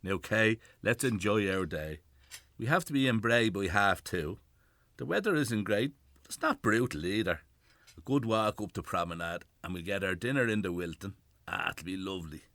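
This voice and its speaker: A man's voice: on the low side, animated, normal speed, fairly bright tone, dry recording. An actor playing a retired Irish policeman, a loving but defiant old husband, in a radio play. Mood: reassuring, affectionate. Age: older.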